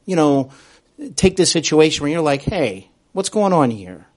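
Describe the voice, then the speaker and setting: A man's voice: fairly high pitch, big pitch swings, normal speed, darkish tone. A man hosting a podcast interview in a conversational way, agreeing often and phrasing his thoughts carefully.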